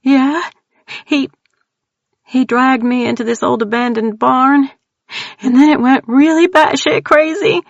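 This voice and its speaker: A woman voicing a frightened, tearful young woman with an East Texas accent, talking in a high-strung, rapid way. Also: steady tone, creaky, no echo. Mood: frantic. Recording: dull dry audio.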